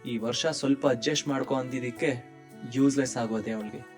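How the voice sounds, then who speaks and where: A man's voice: mid-range voice, fairly even intonation, brisk, faint music behind, lightly acted. A man voicing a kind-hearted, jobless young man in a cartoon story, earnest and reflective. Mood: hurt, dejected. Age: young adult. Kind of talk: dramatic monologue and dialogue in cartoon story.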